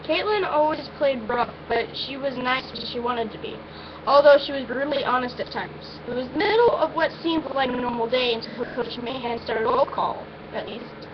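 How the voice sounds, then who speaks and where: A woman's voice: sits high, moderate pace. A woman reading a first-person story aloud in an informal, amateur way, now and then stumbling over her words. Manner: informal, stumbles and breaks off with asides. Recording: muffled, noisy amateur recording.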